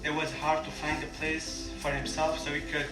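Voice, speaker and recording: A young man from Syria with a Kurdish accent speaks in English, his second-language phrasing plain and halting, full of small restarts. His voice is a touch higher than most men's. He sounds far from the mic in an echoey room, with loud music over him. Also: monotone. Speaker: refugee guest speaker. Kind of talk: personal story told to an audience. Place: large room at a community lunch.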